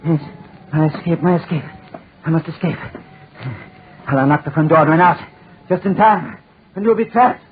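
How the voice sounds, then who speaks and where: A man's voice: on the high side, moderate pace, pauses often, lightly acted. An actor playing a deranged, desperate man in 1940s radio style, repeating his phrases feverishly and obsessively. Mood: frantic. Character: deranged desperate man set on burning his tormentor to death. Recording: scratchy, tinny 1940s radio recording.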